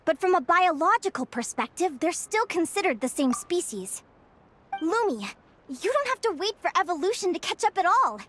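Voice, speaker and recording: A woman playing a cheerful, stubbornly optimistic young adventurer in a video game, bubbly, excitable and earnest. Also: very high-pitched, normal speed, exaggerated voice. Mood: excited, delighted. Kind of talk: animated video game voice acting.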